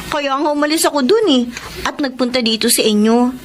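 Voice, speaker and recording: An actress playing a young housemaid in a Filipino radio drama, polite, earnest and a little hesitant. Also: female voice, sits high, a little fast, slight background noise, natural voice. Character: earnest, respectful young housemaid, caring and timid.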